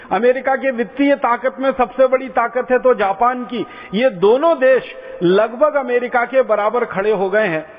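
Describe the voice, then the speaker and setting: A man from India giving an impassioned public lecture, driving home each point with repeated parallel phrases. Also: very high voice. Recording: tinny phone-like sound in a reverberant hall.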